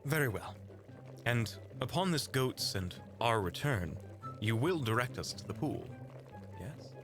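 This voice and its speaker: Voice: mid-range voice, animated, a little slow, over music. An actor playing a formal, demanding adventurer in a fantasy audio roleplay, with clipped, no-nonsense delivery. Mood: brisk, demanding.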